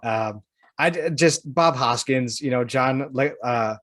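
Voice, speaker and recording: A man with a medium-pitched voice talks casually on a podcast. His delivery is halting and informal, and the sound is very dry. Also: fairly bright tone, dry recording. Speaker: podcast host.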